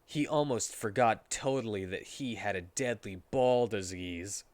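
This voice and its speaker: A man's voice: lowish voice, measured pace, dry recording. A man reading a story aloud as a comedic dramatic performance, sometimes stumbling over the words.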